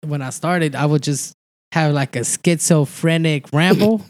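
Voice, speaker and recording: A man's voice: fairly high pitch, measured pace, no room sound. A young man from El Salvador, a stand-up comic, talking loosely as a podcast guest, swearing and laughing a lot. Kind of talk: casual podcast chat.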